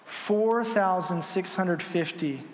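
A man's voice: high voice, takes it slowly. A man preaching a sermon as pastor, earnest and conversational with some fillers. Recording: echoey hall with long reverb.

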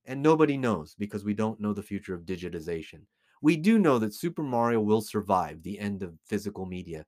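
A middle-aged man with an American accent speaks in a fairly low register. He delivers informal, opinionated commentary straight to listeners, leaning on repeated phrases for emphasis. The recording is dry, with hardly any room sound. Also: very animated, fairly quick, a little mellow, dry recording. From United States. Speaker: podcast host, collectibles dealer.

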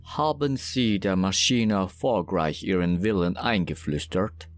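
A man playing an unctuous occultist and former cultist in a horror audio drama, speaking in a deliberate, pompous, flowery manner. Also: sits low, no room sound. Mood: smug, oily. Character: unctuous male occultist, former cultist with moon-marked eyes.

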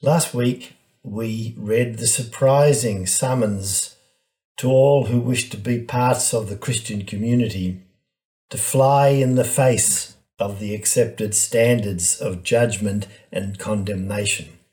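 A man's voice: on the low side, takes it slowly, a little mellow. A man, a priest, giving a reflective homily on a scripture text.

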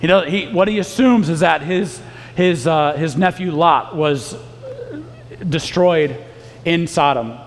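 A man's voice: fairly high pitch, takes it slowly. A man teaching a Bible study in a casual, joking sermon style, often restarting his sentences. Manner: joking, self-deprecating, frequent restarts. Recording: long reverb of a hall.

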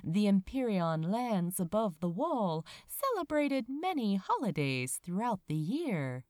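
A woman narrating fiction from a script in a tender, unhurried, expressive storytelling manner. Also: medium pitch, no echo, clean studio sound. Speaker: amateur audiobook narrator.

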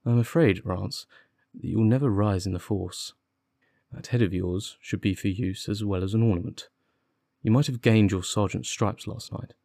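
A man voicing a confident, impatient gentleman detective, articulate and sardonic, in an audiobook. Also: fairly low pitch, moderate pace, creaky, dry recording, studio quality. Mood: scornful. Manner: articulate, commanding, witty. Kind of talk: audiobook character dialogue.

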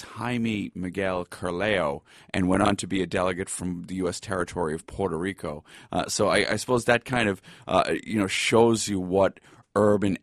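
Middle-aged American male interview guest, low voice, halting and measured storyteller, dry close sound. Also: no echo. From Boston, United States. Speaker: interview guest, law lecturer and writer.

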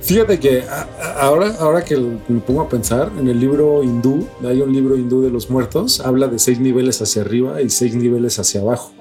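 A man's voice: medium pitch, a little fast, hardly any pauses, a little mellow. A man with a Mexican accent co-hosting a podcast about strange news, talking casually with slangy, profane language. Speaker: podcast co-host. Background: music.

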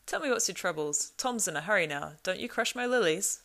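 A woman's voice: medium pitch, lively intonation, brisk, fairly bright tone, pitched above the performer's own voice. A woman voicing a jolly, boisterous old man of the woods from a storybook, with a rhyming, sing-song and exclamatory delivery. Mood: brisk, hearty. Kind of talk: storybook character voice.

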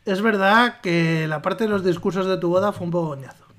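A woman's voice: fairly low pitch, fairly quick. A woman with a Spanish accent from Spain, co-hosting a podcast in casual, teasing, joking chat and reading out listener comments, swearing freely. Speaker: podcast co-host.

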